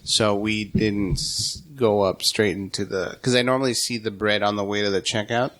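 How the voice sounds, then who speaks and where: A man's voice: lowish voice, steady tone, moderate pace, a bit bright. A man in his late twenties, a podcast guest, telling an easygoing story in casual chat. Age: 20s.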